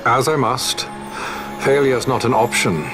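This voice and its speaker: An actor playing a determined resistance commander who speaks in formal, resolute sentences. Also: male voice, medium pitch, steady tone, unhurried, over music, lightly acted. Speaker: resistance leader. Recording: game cutscene with loud orchestral score.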